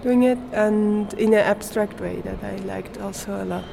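A woman's voice: mid-range voice, fairly even intonation, normal speed, vocal fry, warm tone, a little hiss or hum. A young woman from Switzerland, a native German speaker, answering interview questions in English, hesitant and searching for words, laughing often. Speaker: art student.

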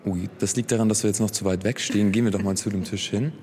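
A man's voice: fairly low pitch, fairly even intonation, fast, dark tone, a little hiss or hum. A man interviewing art students at an exhibition, asking curious questions in a casual way.

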